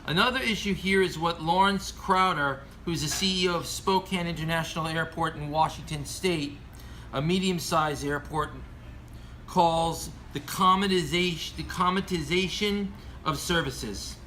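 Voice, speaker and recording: A man's voice: fairly high pitch, normal speed, bright, forceful tone, a little hiss or hum. An American man reading scripted opinion commentary as an aviation commentator, earnest and formal, stumbling over some words.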